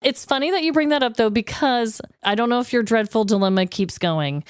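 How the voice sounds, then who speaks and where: A woman's voice: on the high side, brisk, smooth, dry recording, clean studio sound. A woman co-hosting a podcast in casual comedic chat, telling stories in a self-deprecating way and swearing freely. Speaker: podcast co-host.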